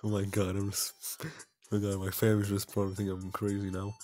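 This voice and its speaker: A man's voice: lowish voice, monotone, fast, a little mellow, faint music behind. A man doing casual playthrough commentary and reading game text aloud in a goofy, self-mocking way. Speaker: let's player.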